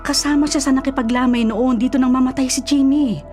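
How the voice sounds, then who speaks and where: A woman's voice: sits high, fairly even intonation, brisk, a little mellow, faint music behind, close to an everyday voice. An actress playing an older, worried and caring Filipino mother in a radio drama, fussing and nagging at her grown son. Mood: reproachful, worried.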